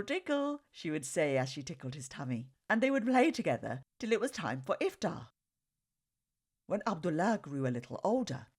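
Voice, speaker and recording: Low-pitched woman, very animated storybook narration. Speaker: children's story reader. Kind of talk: expressive read-aloud storybook narration.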